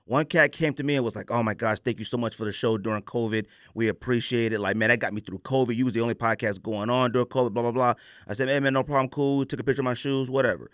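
A man's voice: medium pitch, steady tone, quick pace, few pauses, a bit bright, no echo, clean studio sound. A man hosting a podcast, telling stories in a casual, colloquial, opinionated way and acting out quoted exchanges. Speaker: podcast host.

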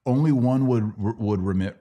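A man's voice: fairly low pitch, measured pace, dark tone. A middle-aged American man hosting a conversational Bible Q&A, teaching in a didactic way with questions to check understanding. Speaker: podcast host and Bible teacher.

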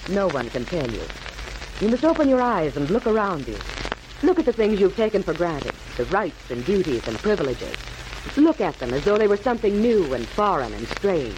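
A woman playing a firm, solemn middle-aged mother in a 1940s radio drama, speaking in dramatic, measured speeches. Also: lowish voice, very animated. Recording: hissy, noisy old 1940s radio recording.

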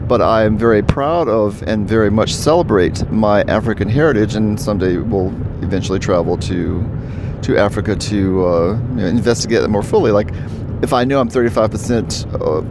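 A man from South Carolina, a podcast guest, talking in a measured, reflective way with frequent fillers. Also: on the low side, noisy background. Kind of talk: thoughtful podcast interview.

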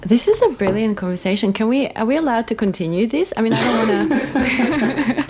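A woman's voice: fairly high pitch, lively intonation, moderate pace, over a noisy crowd. A woman from Croatia in a casual conversation, speaking hesitantly with many fillers and restarts.

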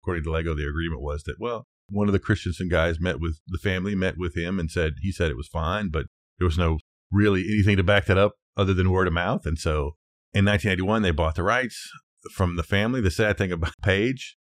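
A middle-aged man with a deep voice in a very dry-sounding recording. He co-hosts a podcast and talks informally, passing along bits of research in a loose, conversational way. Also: quick pace, dry recording. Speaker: podcast co-host. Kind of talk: casual conversation, sharing researched facts.

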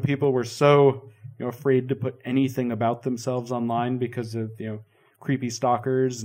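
A man talks in a loose, chatty way, spinning stories with a playful edge. His voice sits at a middling pitch for a man. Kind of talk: casual chat.

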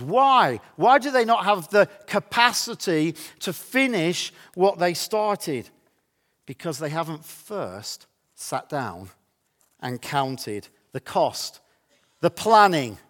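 A man preaching a sermon as a pastor, with a slightly croaky voice and an emphatic, deliberate delivery that repeats phrases for effect. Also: high-pitched, a bit bright. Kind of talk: church sermon.

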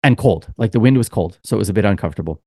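A man's voice: lowish voice, animated, racing, a little mellow, breathy edge, no echo, clean studio sound. A man co-hosting a podcast, telling a long, rambling story in casual chat with lots of fillers. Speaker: podcast co-host.

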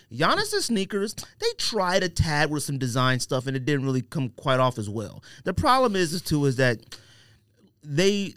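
Animated, blunt higher-voiced man on a podcast. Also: smooth, no echo. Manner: emphatic, blunt, slangy. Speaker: sneaker podcast host. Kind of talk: casual opinionated sports-culture debate.

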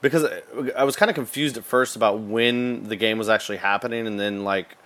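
A man's voice: fairly low pitch, fairly even intonation, fairly quick, a bit bright. A man chatting casually as a co-host of a video game podcast. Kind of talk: casual chat.

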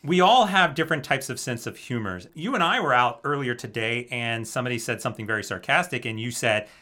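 Woman with an extremely deep voice. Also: lively intonation, fairly quick, bright, forceful tone. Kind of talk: casual talk-show chat.

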